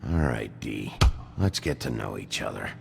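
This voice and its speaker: An actor playing a gruff, weary sheriff detective in a video game, gravelly and terse. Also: male voice, a bass voice, lively intonation, moderate pace, exaggerated voice. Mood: menacing. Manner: terse, blunt. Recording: loud music behind.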